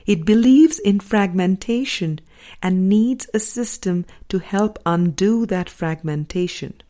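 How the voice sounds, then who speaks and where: A woman reading a spiritual book aloud as an audiobook narrator, in a measured, deliberate way with pauses between phrases. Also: mid-range voice, expressive, dark tone. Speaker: audiobook reader.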